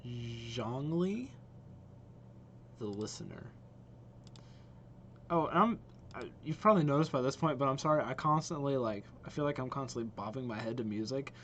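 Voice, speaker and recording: A young adult man hosting a reaction video with casual, expressive, informal commentary. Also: mid-range voice, moderate pace, pauses often, lo-fi sound, slight background noise.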